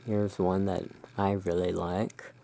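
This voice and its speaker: A person narrating in a soft, hushed, deliberate ASMR style while presenting cards as a host. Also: low-pitched, little pitch movement, creaky.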